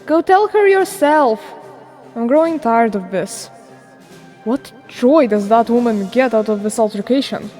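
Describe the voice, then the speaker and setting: Exasperated blood-fiend daughter, acted by a woman, higher voice, formal, dry, sardonic, game fandub, music behind. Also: animated, measured pace, a little mellow, some chatter behind. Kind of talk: dramatic game fandub acting.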